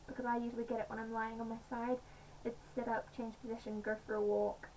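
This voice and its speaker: A woman with a slightly croaky voice, chatting casually in a home vlog with many pauses and fillers. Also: fairly high pitch, level tone, a little mellow. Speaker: vlogger. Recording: distant mic, faint background noise.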